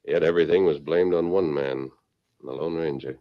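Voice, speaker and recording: A man playing a steady, authoritative masked western hero in old-time radio drama, speaking with crisp command. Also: very deep voice, normal speed. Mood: probing. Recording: muffled old radio recording.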